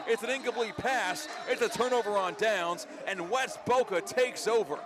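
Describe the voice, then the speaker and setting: A man doing energetic live radio play-by-play commentary of a football game. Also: high-pitched, moderate pace, a crowd in the background. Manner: energetic, vivid play-by-play delivery. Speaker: play-by-play sports announcer.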